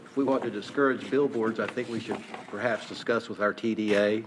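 A man on a city council offers a brief suggestion. Clear background noise sits under the chamber audio. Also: lowish voice, steady tone, brisk.

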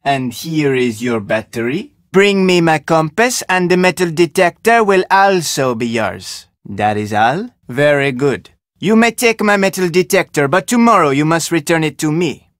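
A guarded fisherman who wants to be left alone, in an adventure game. He has a Jamaican accent and a fairly high male voice. His phrasing is formal and curt, in a very dry recording. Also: dry recording. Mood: measured, bargaining. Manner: terse, formal phrasing without contractions. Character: guarded, solitary male island fisherman, terse and suspicious. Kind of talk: video game character dialogue.

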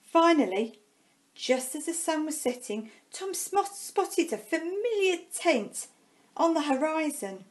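A woman reading a children's storybook aloud expressively, with dramatic pauses and drawn-out words. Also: high-pitched, sing-song. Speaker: primary school staff member reading a storybook.

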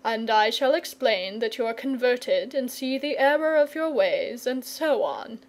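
A woman's voice: a little high, expressive, unhurried, poor audio. An earnest storybook knight, voiced by a woman narrator, courteous and sure of himself. The delivery is patient and persuasive, with a thoughtful air. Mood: matter-of-fact. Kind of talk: storybook character reading.

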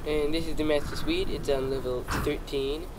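A man playing a deadpan male hotel clerk in a game machinima, dry and matter-of-fact. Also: fairly high pitch, normal speed, background noise, natural voice. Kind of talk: amateur game machinima acting.

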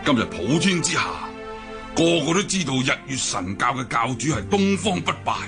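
An actor playing a proud, brooding older deposed martial-arts cult leader in a Hong Kong Cantonese radio drama, declaiming and sighing theatrically. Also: male voice, mid-range voice, big pitch swings, moderate pace. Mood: grave, resigned. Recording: music bed behind the voice.

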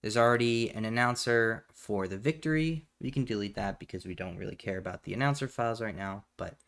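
A man hosting a step-by-step software tutorial in a casual, plainspoken way, recorded indoors at a computer. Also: lowish voice, brisk. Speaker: tutorial host. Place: indoors at a computer, voice over screen capture.

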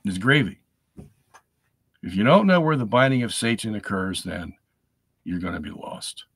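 A middle-aged man hosting a live stream from his home study, chatting casually and checking in with "okay?", hoarse from a lingering cold. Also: fairly low pitch, big pitch swings, normal speed, fairly bright tone, no room sound. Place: home study lined with bookshelves.

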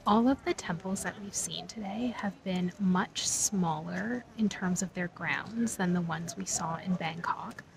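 A woman's voice: lowish voice, a little slow, gravelly, few pauses, music in the background, low-quality recording. A woman in her thirties chatting reflectively as a travel vlogger, filmed on a handheld phone. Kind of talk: casual travel vlog. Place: outdoors at temple grounds. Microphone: phone camera at arm's length.

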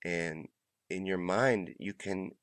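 A man's voice: low voice, a bit bright, no room sound. A middle-aged man with a stuffy, congested voice, hosting a motivational monologue in a small home room on a close studio mic. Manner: earnest, pep-talk delivery with trailing pauses. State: congested, under the weather. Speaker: host. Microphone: studio mic close to the mouth.

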